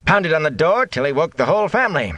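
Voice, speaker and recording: A man's voice: on the high side, sing-song, fast talker, fairly bright tone, no echo, pitched above the performer's own voice. A man voicing a shrewd, stout middle-aged innkeeper who is also the village mayor, hearty and wry.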